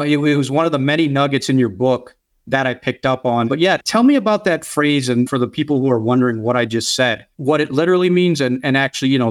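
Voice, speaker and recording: A man hosting a podcast, with a voice of middling pitch. He talks in an affable, chatty way, asking open questions and circling back on himself. The sound is dry and close. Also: no echo. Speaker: podcast host. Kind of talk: podcast interview.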